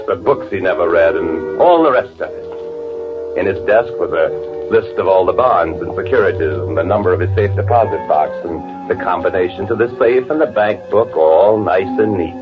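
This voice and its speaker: A very deep-voiced, bitter radio killer. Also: male voice. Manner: confessional, brooding, halting. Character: bitter, gloating murderer posing as his dead twin brother. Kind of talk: 1940s radio drama first-person narration. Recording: tinny vintage radio recording with music.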